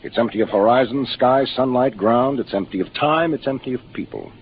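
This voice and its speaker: A man's voice: mid-range voice, fairly quick, natural voice. An American man playing a seasoned, matter-of-fact spaceship captain in 1950s radio drama style, commanding as he explains things. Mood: grave, explanatory. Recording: muffled, noisy 1950s radio broadcast.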